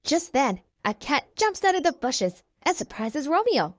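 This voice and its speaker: A woman reading a children's storybook aloud in animated narration. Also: high voice, unhurried, dry recording.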